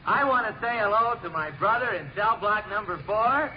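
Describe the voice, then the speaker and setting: An actor playing an earnest prisoner announcing greetings on prison radio in an old comedy show, hearty and list-like. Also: male voice, high-pitched, expressive, moderate pace. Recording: muffled, faintly noisy old radio recording.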